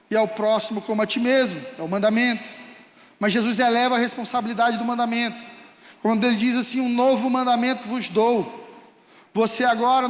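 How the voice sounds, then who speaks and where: A male pastor with a Brazilian Portuguese accent preaching a fervent sermon that rises to shouts. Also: extremely high pitch. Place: church hall. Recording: echoey hall with long reverb.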